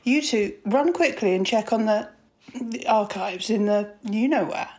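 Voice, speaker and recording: A woman acts out a composed woman doctor in an audio drama. Her voice sits at a middle pitch, and her phrasing is proper and measured. She comes across as caring, with a wry, teasing edge. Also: darkish tone, faint music behind, close to an everyday voice. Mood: urgent.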